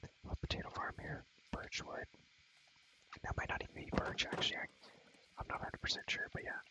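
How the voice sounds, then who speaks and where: A young man whispering rambling ASMR commentary on a game in a breathy voice, repeating phrases over and over with playful, slangy asides. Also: brisk, poor audio. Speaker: ASMR gaming creator.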